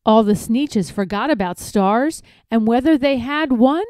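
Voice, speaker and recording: A woman reading rhyming verse aloud for children in an expressive, measured storybook style. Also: fairly high pitch, clean tone, dry recording, clean studio sound. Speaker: storybook reader.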